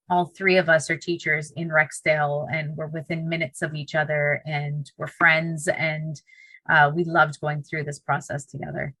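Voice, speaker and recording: Woman, low voice, bright tone, Punjabi first language, earnest, restarts often. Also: no echo, low-quality recording. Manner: earnest, with frequent restarts and fillers. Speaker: teacher and co-author. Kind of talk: podcast interview conversation.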